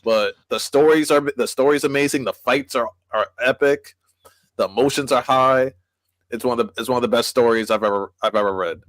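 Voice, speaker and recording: A man's voice: medium pitch, a little fast, a bit bright, no echo. A man co-hosting a podcast, talking enthusiastically and rambling with many "like"s. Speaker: podcast co-host. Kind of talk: casual chat.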